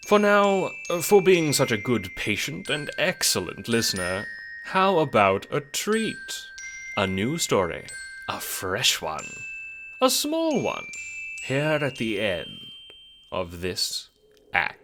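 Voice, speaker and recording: A man's voice: mid-range voice, huge pitch swings, slow, background noise. A man narrating a scripted audio drama in a theatrical, wry, rhetorical storytelling style. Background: music.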